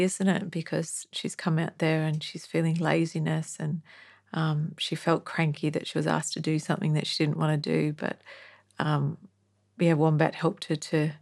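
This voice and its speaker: A woman talks casually as a guest on a podcast. Her voice is fairly low and creaky, with little movement in pitch. She feels her way through thoughts and checks for agreement. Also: darkish tone. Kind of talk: casual chat.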